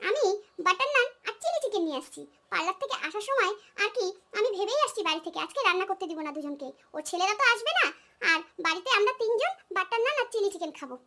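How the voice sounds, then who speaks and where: Woman acting as young cartoon daughter-in-law, squeaky high, bright, hot-headed, kind, chattering, pleading. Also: very animated, fast talker, exaggerated voice. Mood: eager, generous. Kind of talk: comic cartoon dialogue.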